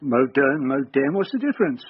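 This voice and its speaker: A man's voice: mid-range voice, sing-song, normal speed, breathy edge, no echo. A man with a British accent playing a sceptical, bemused older father in a radio drama. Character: grumbling, technophobic older father of detective. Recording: dull radio drama sound, faint noise.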